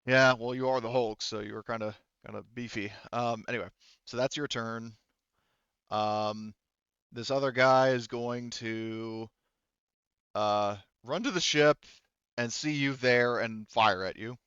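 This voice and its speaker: A man's voice: mid-range voice, fairly even intonation, unhurried, fairly bright tone, smooth, no room sound, lo-fi sound. A man acting as game master, narrating and refereeing a tabletop roleplaying game in an informal, hesitant, think-aloud way.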